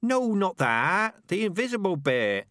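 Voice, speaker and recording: Guy doing a naive curious storybook rat, high voice, keeps asking stuff, very swoopy. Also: wildly swooping pitch, unhurried, fairly bright tone, dry recording, studio quality, heavily acted. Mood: earnest, questioning. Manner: insistent, questioning. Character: naive, earnest philosophical young rat pondering the afterlife.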